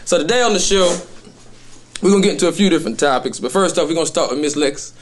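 A man's voice: a little high, fast. A man hosting a casual talk show, joking and hyped, laughing often as he introduces guests. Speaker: host.

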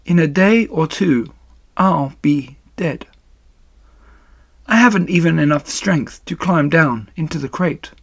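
A man voicing a calm, motherly female spider in a children's story, weak and dying but gently firm and measured. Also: on the high side, creaky, husky, no room sound, voice pushed up, heavily acted. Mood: weary, resigned.

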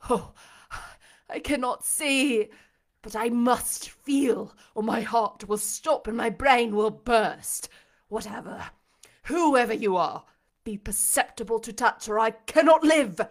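A woman voicing a desperate, passionate blind gentleman in an audiobook, with a dramatic, demanding delivery. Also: fairly high pitch, slow. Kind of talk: audiobook character acting.

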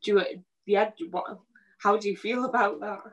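A woman's voice: medium pitch, normal speed, lo-fi sound. A woman hosting a casual podcast, asking long, searching, rambling questions. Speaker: podcast host.

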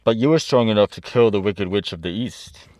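Guy doing a big bossy wizard head, low and stern, storybook villain type, super dry sound. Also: darkish tone, no room sound, lightly acted, rough recording. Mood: stern, demanding. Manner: commanding, stern, deliberate. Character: imperious giant floating head, a great and terrible wizard. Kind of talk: storybook character reading.

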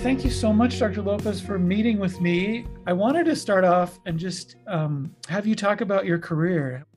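A man's voice: high voice, normal speed, darkish tone, soft background music. A man from Utah hosting a podcast, reading a guest introduction and then interviewing. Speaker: podcast host.

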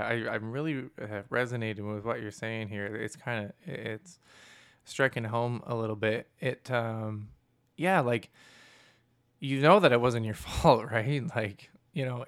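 A man hosting a casual interview, with a booming voice and a loud laugh, asking long, searching questions full of fillers. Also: unhurried, clear, resonant tone. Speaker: podcast host.

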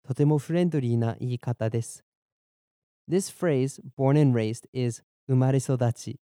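Podcast language teacher, young man, deep mellow tone, measured and clear, dry studio sound. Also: no echo. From born in Germany, raised in Boston. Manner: clear, measured explanations, slows down for repeat drills. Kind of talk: bilingual lesson narration, Japanese and English.

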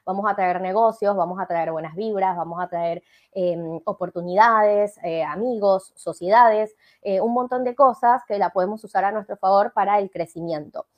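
A woman's voice: medium pitch, normal speed, highs cut off. A woman from Venezuela with a Venezuelan accent, a coach explaining her ideas in an interview, with frequent fillers and tag questions. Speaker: life coach guest. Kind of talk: interview conversation.

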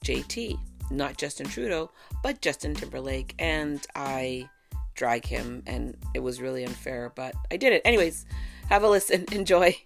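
Low, gravelly woman podcasting. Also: animated, normal speed, soft background music. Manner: chatty and irreverent, with asides and digressions. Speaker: podcast host. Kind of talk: casual solo podcast chat. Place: home, fan running.

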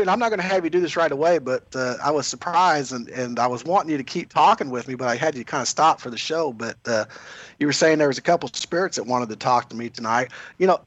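A man hosting a radio talk show, slightly hoarse and chatty, often correcting himself mid-sentence. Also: on the high side, fairly bright tone, no room sound. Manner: rambling, self-correcting, chatty. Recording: slightly dull lo-fi radio audio.

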